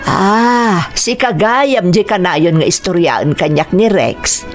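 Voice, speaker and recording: A woman's voice: fairly low pitch, slow, soft background music. A woman playing a humble, kindly middle-aged mother in an Ilocano radio drama, with an Ilocano accent. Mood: kindly, proud.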